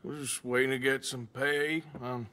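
A man's voice: medium pitch, flat delivery, moderate pace, sharp tone, lightly acted. An actor playing a laconic, rugged outlaw gunman in a western video game, plain-spoken and sardonic. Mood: dry, easygoing. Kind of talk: naturalistic video game acting.

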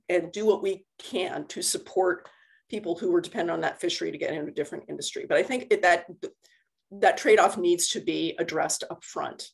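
A woman's voice: mid-range voice, gravelly. A woman giving an informal lecture over a video call, conversational and explaining things with everyday analogies. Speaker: university lecturer giving a talk. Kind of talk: informal slide lecture and answers. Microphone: video call computer microphone.

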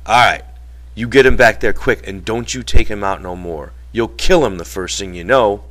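A man voicing a protective, exasperated ranch hand in a storybook reading, telling things forcefully in folksy, ungrammatical speech. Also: fairly low pitch, normal speed, natural voice. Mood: scolding.

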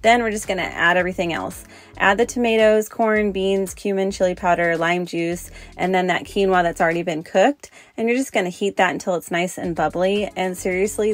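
A young adult woman, a cooking channel host, giving relaxed, friendly step-by-step instructions in a close-miked voice-over. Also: lowish voice.

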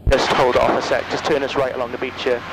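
A man in his thirties piloting a small aircraft, giving casual instructions and halting radio calls over a headset. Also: mid-range voice, little pitch movement. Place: small microlight cockpit in flight. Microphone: aviation headset boom mic. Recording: noisy aircraft headset intercom, engine drone.

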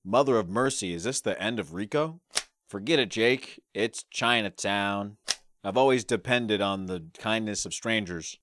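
A young man performing classic movie characters in short, deadpan, theatrical one-liners. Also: on the low side, normal speed, lightly acted. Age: young adult. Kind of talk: amateur impressions of film quotes. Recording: clearly noisy background with clicks.

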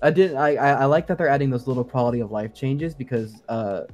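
A man with a medium-pitched voice chats as a podcast guest co-host. His talk is casual and informal, and he giggles easily. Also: quick pace, darkish tone. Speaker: guest co-host, sound designer. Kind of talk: casual chat.

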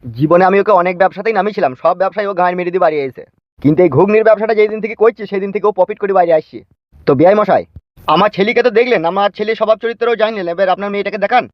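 A brash, pushy cartoon village dad acted out by a man, high-pitched and quick-talking. The recording is dull and lo-fi, with comic dialogue over music. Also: no room sound, lightly acted. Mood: chatty, pushy. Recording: dull lo-fi audio with music underneath.

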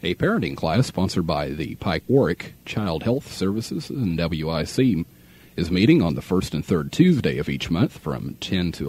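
A young American man with a low, mellow voice announces on local radio. His delivery is measured and formal, slightly husky, as heard on an old muffled aircheck tape. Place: radio studio.

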